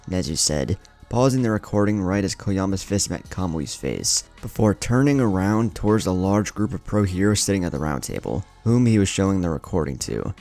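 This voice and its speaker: A man's voice: deep voice, gravelly, a little mellow. A man narrating a scripted dramatic story as the host of a story channel. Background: faint music.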